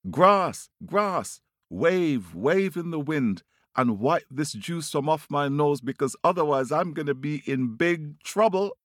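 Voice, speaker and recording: A man voicing a vain, desperate rooster in a children's story, calling out in loud, pleading, sing-song repeated demands. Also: a little high, animated, slow, no room sound, studio quality, voice pushed up.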